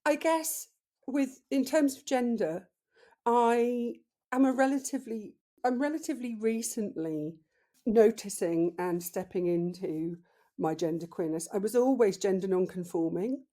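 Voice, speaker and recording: A woman in her fifties with a deep voice, a podcast guest talking slowly and thoughtfully in a casual interview. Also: mellow. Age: 50s. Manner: slow, thoughtful, reflective.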